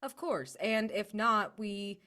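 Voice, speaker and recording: A woman's voice: medium pitch, slow pace, sharp tone. A woman brainstorming casually as a player in a tabletop roleplaying game, informal and enthusiastic.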